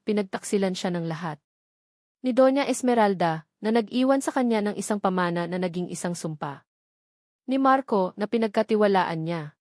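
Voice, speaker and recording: A woman's voice: mid-range voice, darkish tone, no echo. Filipino woman narrating a drama, dry sound. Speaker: story narrator. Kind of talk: scripted dramatic narration of a romance drama story.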